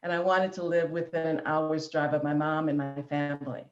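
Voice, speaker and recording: A woman's voice: deep voice, fairly even intonation, fairly quick, faint music behind. A woman in her fifties from Illinois, a guest in an online interview, talking in a chatty way with easy laughs and ums. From Illinois, USA. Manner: warm chatty, laughing easily, filler ums. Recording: roomy, dull lo-fi sound.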